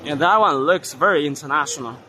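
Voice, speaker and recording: A hoarse man walking through an indoor trade fair hall, giving casual commentary on the stands with many fillers and pauses. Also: a little high, sharp tone, a little hiss or hum. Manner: unhurried, halting, many fillers. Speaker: vlogger touring a trade fair.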